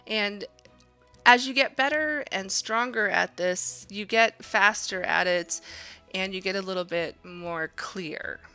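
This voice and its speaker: A woman's voice: medium pitch, takes it slowly, sharp tone, faint music behind. A woman art instructor giving an informal, chatty drawing demonstration, thinking aloud in an encouraging way.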